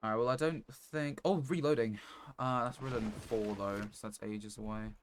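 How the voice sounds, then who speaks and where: A man's voice: fairly low pitch, normal speed. A man giving casual live gameplay commentary as a streamer, muttering to himself as he plays. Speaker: game streamer. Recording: clear background noise, clicks.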